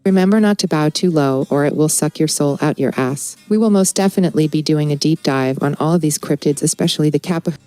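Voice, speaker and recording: A woman narrates scripted folklore as a co-host in a low, smooth voice. Her delivery is deadpan, and the sound is dry and close with music underneath. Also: fast talker, few pauses, no echo, rough recording.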